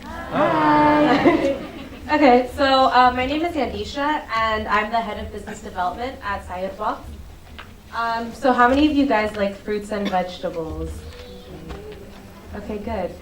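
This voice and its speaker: A young woman from Singapore giving a relaxed, friendly stage talk to an audience as a business development head, with plenty of ums and uhs. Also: on the high side, measured pace, a crowd in the background, noisy background. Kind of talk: presentation talk to a live audience. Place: event stage before an audience. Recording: roomy venue sound, distant mic, faint ambience.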